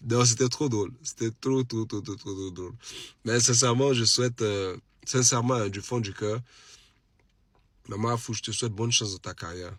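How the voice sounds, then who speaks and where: Man, Ivorian French accent, lowish husky voice, stuffed with a cold, dry close sound, animated casual chat, emphatic repetition. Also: moderate pace, no room sound. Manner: animated, repeats phrases for emphasis. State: has a cold. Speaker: social media live streamer. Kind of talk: casual late-night live chat to followers.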